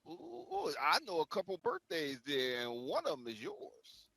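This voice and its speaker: A man's voice: on the high side, huge pitch swings, moderate pace, creaky, fairly bright tone, slightly rough, low-quality recording. A man chatting casually as a show co-host, exuberant and emphatic in his delivery. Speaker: show co-host presenting a word-of-the-day segment. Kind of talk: casual chat.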